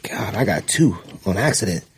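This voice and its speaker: A man co-hosting a podcast, teasing his friends in loose banter and laughing loudly. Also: normal speed, gravelly, husky. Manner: teasing, laughs loudly, lively swings in pitch. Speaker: podcast co-host. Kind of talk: casual banter.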